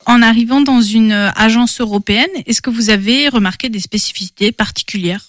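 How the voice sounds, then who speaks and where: A woman's voice: a little high, brisk, no room sound, studio quality. A woman interviewing two interns, asking short, plain questions.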